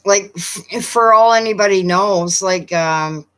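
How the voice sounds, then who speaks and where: Woman, Newfoundland accent, live-stream chat, unhurried, bright tone, lots of ums. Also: mid-range voice. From Newfoundland, Canada. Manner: chatty, hesitant, many fillers.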